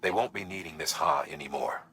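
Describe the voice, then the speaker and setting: An actor playing a grave government handler in a video game, briefing a courier in a measured, earnest, instructive way. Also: male voice, deep voice, sharp tone, faint music behind.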